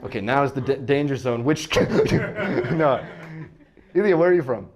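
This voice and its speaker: An American man doing stand-up crowd work, bantering in a halting, self-interrupting way and often chuckling. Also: mid-range voice, expressive, darkish tone, dull audio. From United States. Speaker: stand-up comedian.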